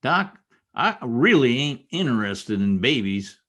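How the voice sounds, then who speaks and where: An actor playing a simple, fretful, loyal frontier sidekick in an old-time Western radio play, talking in a folksy, rustic way. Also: male voice, medium pitch, sing-song, a little slow, a bit bright. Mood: exasperated, bewildered. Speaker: actor in an amateur radio drama.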